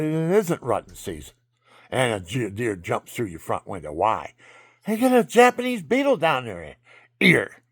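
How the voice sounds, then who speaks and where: A man telling a rambling personal story in a monologue, jumping between loud outbursts and low mutters. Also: slightly rough. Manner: calls himself low-voiced.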